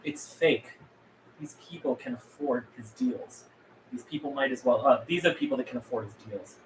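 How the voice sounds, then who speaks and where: A man's voice: medium pitch, moderate pace, natural voice. A man playing a defiant, world-weary and blunt rebel leader at a script table read. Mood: bitter warning. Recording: echoey room with background noise.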